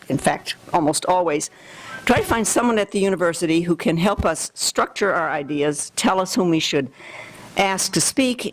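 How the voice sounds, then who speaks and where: A woman's voice: lowish voice, rough recording. Woman reading a formal introduction. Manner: formal, measured. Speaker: lecture series organizer introducing the speaker.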